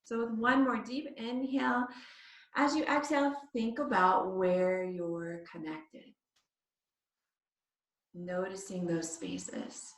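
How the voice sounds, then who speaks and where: A woman yoga teacher leading a guided body scan meditation, speaking in short phrases with pauses between them. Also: on the high side, flat delivery. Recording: echoey room, distant microphone.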